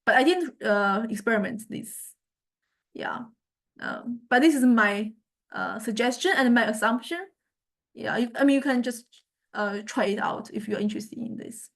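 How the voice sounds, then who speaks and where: A woman lecturer giving an informal software demonstration, hesitantly thinking aloud as she goes. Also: on the high side, moderate pace. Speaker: music technology lecturer.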